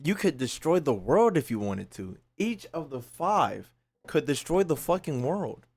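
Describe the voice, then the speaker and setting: A man streaming casually to his chat, profane and slangy, thinking out loud as he talks. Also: fairly high pitch, big pitch swings, unhurried, breathy edge. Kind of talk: casual live commentary to chat.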